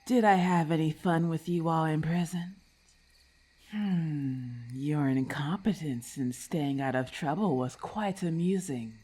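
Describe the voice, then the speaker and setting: A woman voicing a haughty, predatory snake-monster lamia in an ASMR roleplay, imperious and disdainful, with deliberate formal phrasing. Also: deep voice, warm tone, breathy edge. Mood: scornful, possessive.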